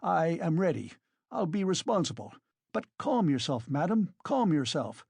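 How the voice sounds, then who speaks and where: A narrator voicing a pompous, self-important gentleman in an audiobook, formal, measured and insistent in his reproach. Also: mid-range voice, big pitch swings, creaky, breathy edge, no room sound, pitched above the performer's own voice, heavily acted. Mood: flustered. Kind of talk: dramatised audiobook reading.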